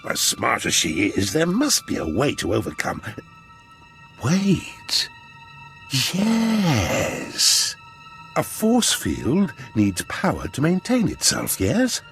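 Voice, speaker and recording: A man's voice: mid-range voice, huge pitch swings, a bit bright, faint music behind, heavily acted. Smug, theatrical scheming nobleman. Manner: pompous, drawn-out emphasis. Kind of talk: video game character acting.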